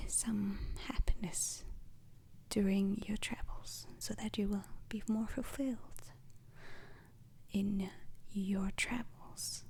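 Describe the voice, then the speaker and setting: A woman playing a calm, formal space-agency instructor in an ASMR roleplay, lecturing in a breathy whisper with deliberate pauses. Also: mid-range voice, exaggerated voice. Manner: slow, deliberate, hesitant pauses, formal wording.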